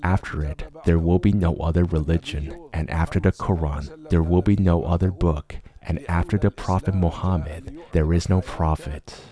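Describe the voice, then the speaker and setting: A man reading a scripted religious talk as a voice-over translation, in a measured, formal manner. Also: low voice, steady tone, warm tone.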